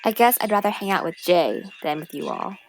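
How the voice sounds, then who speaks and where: A woman's voice: lowish voice, expressive, brisk. A woman playing a sarcastic, grumpy teenage girl in an audio drama, with a dry, irritated, slangy delivery. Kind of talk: naturalistic audio drama acting.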